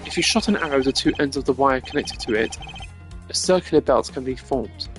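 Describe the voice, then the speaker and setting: A man voicing a composed, cerebral prosecutor in a video game, formal and deliberate in his wording. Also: mid-range voice, expressive, a bit bright, natural voice. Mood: confident. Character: composed, cerebral male prosecutor arguing a case. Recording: game music behind the voice.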